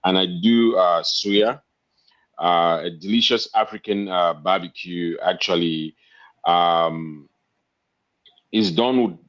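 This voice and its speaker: A man in his fifties with a Cameroonian accent, talking politely and haltingly as an interview guest. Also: deep voice, fairly bright tone. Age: 50s. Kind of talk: casual interview talk. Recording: dull, lo-fi internet call audio.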